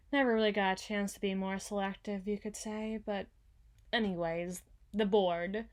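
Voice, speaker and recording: A woman playing a frazzled, proud young female CEO in an ASMR roleplay, halting and stammering as she corrects herself. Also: mid-range voice, close to an everyday voice.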